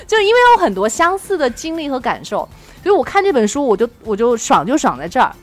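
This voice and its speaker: A woman's voice: on the high side, sing-song, fast. A woman hosting a casual chat, talking in an effusive, eager way. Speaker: podcast host.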